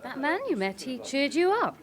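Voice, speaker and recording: A detective's girlfriend in a radio play. Her fairly high voice is very animated in pitch, wry and playful, with a gently chiding edge. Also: moderate pace. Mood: teasing. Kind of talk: British radio crime drama acting.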